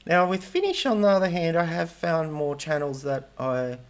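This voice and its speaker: Gravelly hesitant man, rambling vlog. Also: fairly high pitch, expressive, moderate pace. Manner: rambling, hesitant, self-correcting. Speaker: language learning vlogger. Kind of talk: casual talking-head vlog.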